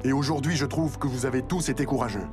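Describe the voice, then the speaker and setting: An actor playing a calm, fatherly rescue team leader in a French cartoon dub, measured and encouraging. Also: mid-range voice, natural voice. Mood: reassuring. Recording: music behind.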